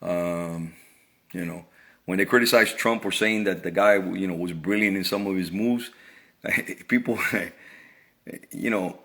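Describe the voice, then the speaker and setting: Deep-voiced middle-aged man giving opinions. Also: measured pace, vocal fry, pauses often, a bit bright. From Cuba. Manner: earnest, repeats words and restarts phrases. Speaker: vlogger. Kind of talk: casual opinion monologue.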